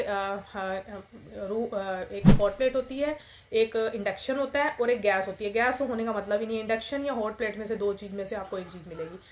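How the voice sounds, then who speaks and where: A woman's voice: a little high, slight background noise. A woman in her thirties vlogging casual advice in a small room, talking through numbered points with many fillers. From India. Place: small indoor room with plain wall.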